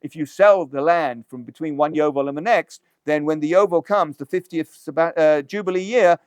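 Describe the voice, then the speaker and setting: A rabbi teaching a Torah class, explaining a text step by step with rhetorical questions and dry asides. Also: male voice, on the high side, moderate pace, clear, resonant tone, no room sound. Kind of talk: lecture-style text study.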